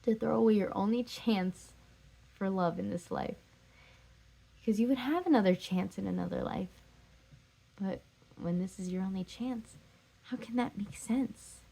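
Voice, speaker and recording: A woman's voice: mid-range voice, vocal fry, warm tone. Woman acting a heartbroken, pleading jilted lover. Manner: emphatic, repetitive, pleading. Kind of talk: stage drama scene and monologue.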